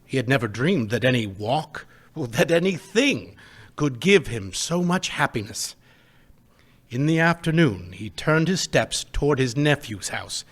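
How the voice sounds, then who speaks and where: A man narrating a live stage radio play in a measured storytelling way. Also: medium pitch, big pitch swings.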